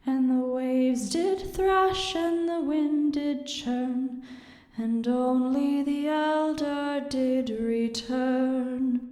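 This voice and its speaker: An actress playing a tender, guilt-haunted noblewoman in a fantasy audio drama, speaking and singing softly. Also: high voice, fairly even intonation. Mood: mournful singing. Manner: slow, tender singing and hushed speech. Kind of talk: fantasy audio drama acting with a cappella lullaby. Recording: echoey hall reverb, music.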